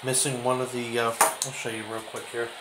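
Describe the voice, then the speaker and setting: A man giving a casual, off-the-cuff show-and-tell as a hobby video host, speaking in halting, trailing phrases in a kitchen behind a handheld camera. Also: medium pitch, level tone. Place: home kitchen, indoors. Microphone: handheld camera, behind the lens. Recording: clearly noisy home camera recording.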